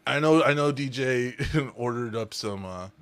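A man with a deep voice hosting a casual chat among voice actors, swearing freely, laughing a lot and talking with many fillers. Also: a little slow, clear, resonant tone, dry recording. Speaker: podcast host.

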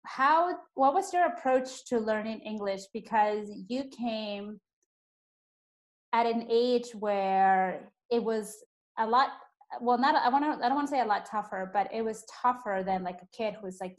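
A woman's voice: medium pitch, normal speed. A young woman who grew up speaking Spanish, hosting a casual podcast conversation in a chatty way with lots of fillers. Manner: chatty, uses many fillers. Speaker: podcast host.

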